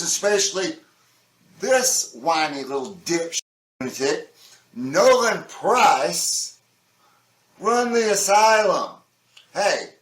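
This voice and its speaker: A man's voice: a little high, a bit bright, roomy sound. A man delivering opinionated scripted commentary in an emphatic, sardonic manner, stretching out key words for stress. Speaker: video essayist.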